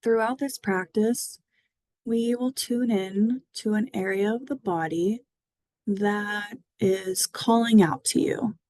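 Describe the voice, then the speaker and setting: A woman guiding a mindfulness body scan meditation, speaking gently and soothingly with long pauses. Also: medium pitch, no echo. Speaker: mindfulness guide.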